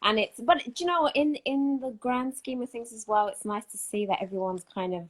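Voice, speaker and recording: A British woman chatting as an interview guest on a live video call, in a measured, understated way. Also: a little high, poor audio. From United Kingdom. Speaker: guest, tailor and designer. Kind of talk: casual interview chat.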